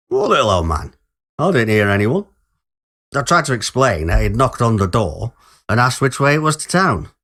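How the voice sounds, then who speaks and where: A man's voice: mid-range voice, fairly bright tone. A man reading a first-person story in an informal, conversational way, as if recalling it to a friend. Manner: informal, colloquial British phrasing. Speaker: story reader playing a musician narrator.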